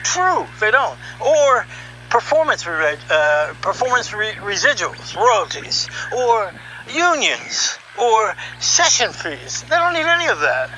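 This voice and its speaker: Middle-aged male storyteller, high and very sharp-edged, conversational and emphatic, over faint background hum. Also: big pitch swings, slow pace, poor audio. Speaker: narrator, voiceover producer. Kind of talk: conversational first-person storytelling.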